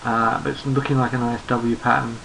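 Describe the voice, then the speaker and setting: Man, hoarse, gravelly, think-aloud chart commentary, unhurried. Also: mid-range voice, level tone, noisy background. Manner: thinks aloud, unhurried, repeats words while working through charts. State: hoarse, losing his voice. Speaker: trading analyst. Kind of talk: screen-recorded market chart commentary.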